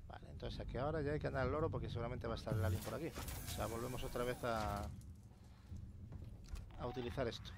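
Male let's-play host, Spain accent, creaky voice, sweary and offhand, heavy game ambience behind. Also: steady tone, fast talker, noisy background. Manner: colloquial, sweary, muttering asides. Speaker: gamer hosting a video game series. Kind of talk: casual gameplay commentary. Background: video game sound effects and rumbling ambience.

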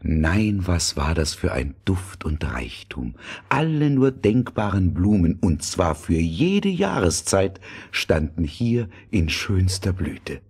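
A man with a husky, breathy voice narrating a scripted fairy tale. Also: deep voice, sing-song, slow pace, darkish tone.

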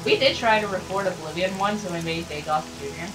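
A woman's voice: fairly low pitch, fast, rough recording. Stuffy-nosed woman chatting over game. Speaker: co-host of a game let's play. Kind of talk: casual gaming chat. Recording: game music underneath.

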